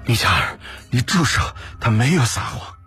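A man playing a weakened but composed male captive in an audio drama, reasoning in a mild, measured way. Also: medium pitch, big pitch swings, pushed and bright, breathy edge, faint music behind, pitched above the performer's own voice, heavily acted. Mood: weak pleading.

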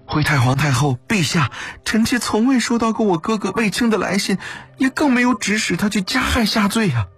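A man's voice: high-pitched, lively intonation, a little fast, a bit bright, breathy edge, dry recording, faint music behind, pitched above the performer's own voice, heavily acted. A man voicing an anxious, humble young imperial consort in an audio story, urgent and deferential.

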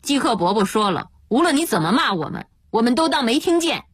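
A woman plays a young son in a storybook drama. He is firm and defiant, urging in a raised, slightly husky pitch without giving way. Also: big pitch swings, brisk, fairly bright tone, no echo, clean studio sound, full character voice. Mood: unbothered, firm. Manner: earnest, unyielding, urging. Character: firm, defiant young son reasoning with his addicted father.

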